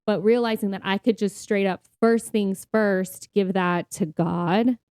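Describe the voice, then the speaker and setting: A woman's voice: mid-range voice, fairly even intonation, a little slow, darkish tone, clear, resonant tone, dry recording, clean studio sound. A woman chatting informally as a podcast co-host, in a talkative, conversational way. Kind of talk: casual conversational podcast chat.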